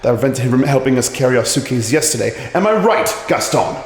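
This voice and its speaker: An actor playing a calm, commanding male detective who lays out his reasoning in a radio drama. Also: mid-range voice, echoey room, in a large hall, close to an everyday voice. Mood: explaining. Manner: measured, explaining.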